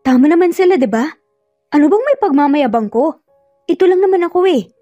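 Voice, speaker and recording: Lady playing hurt young ex girlfriend, guarded n reproachful, highish voice, romance drama, music behind. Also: lively intonation, fairly quick, mellow, no echo, dull audio.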